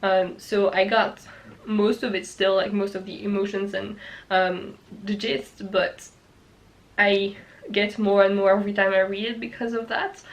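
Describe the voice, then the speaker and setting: A woman's voice: on the high side, a bit bright, slight background noise, low-quality recording. A young woman from France with a French accent, chatting casually to camera about books she loves, restarting her sentences often. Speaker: book vlogger.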